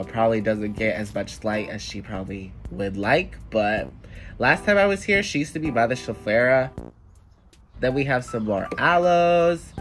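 A young man in his twenties, congested and nasal from a cold, giving a chatty, upbeat tour of garden plants. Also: mid-range voice. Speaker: plant vlogger. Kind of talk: casual plant tour voice-over. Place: indoor room with plants.

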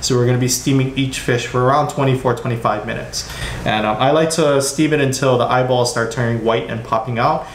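A man's voice: medium pitch, fairly even intonation, brisk. Man cooking, roomy echoey sound. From Hawaii, US. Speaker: home cook demonstrating a recipe. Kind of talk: casual cooking demonstration. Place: home kitchen, indoors. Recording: roomy kitchen echo with background noise.

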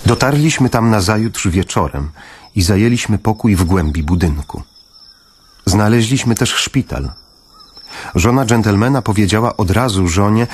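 An actor playing a grave father who narrates in the first person in a radio play, measured and full of pauses. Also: lowish voice, a little mellow, husky. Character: somber father narrating his family's wartime flight.

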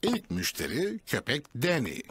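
A man's voice: on the high side, huge pitch swings, unhurried, very noisy. A man narrating a preschool cartoon in a scripted storybook style. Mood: storytelling.

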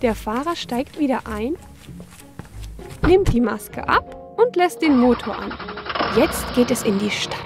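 Female narrator, higher-pitched, for a preschool educational cartoon; instructive question-and-answer delivery over clear background music. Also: lively intonation, unhurried. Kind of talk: scripted narration for young children.

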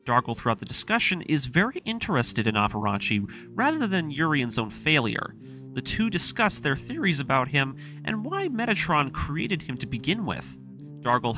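A man reading a scripted story recap as the narrator of a series. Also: medium pitch. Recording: voice-over with music underneath. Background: music.

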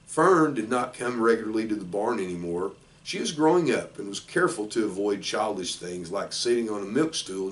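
An older man, around sixty, reading a children's storybook aloud in plain, measured short phrases. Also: fairly low pitch. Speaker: football coach reading a children's book aloud. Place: small indoor office. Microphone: camera a few feet away. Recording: distant microphone in a room.

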